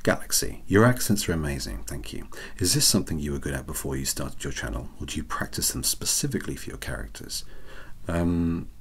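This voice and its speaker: A soft-spoken man, reading out questions and answering them in a wry, self-deprecating way. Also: rumbling low, big pitch swings, a little fast, slight background noise. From Wales. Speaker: ASMR channel host.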